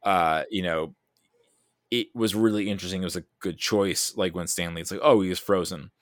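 A man with a deep, low-pitched voice talks as a guest on a casual podcast. He rambles through his thoughts with animated emphasis and frequent asides. The sound is close and dry. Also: normal speed, dry recording.

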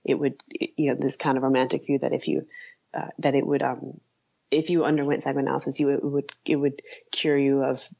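A woman speaking as an interview guest, hesitant and halting as she thinks aloud in casual conversation. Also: a bass voice, flat delivery, gravelly, dark tone, lo-fi sound. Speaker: interview guest, writer and author.

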